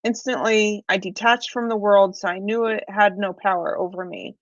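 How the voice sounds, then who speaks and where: A woman's voice: medium pitch, fairly even intonation, moderate pace, no room sound. A woman co-hosting a live question session in casual conversation, recorded on a dull, slightly lo-fi microphone. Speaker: co-host reading out member questions. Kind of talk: casual chat.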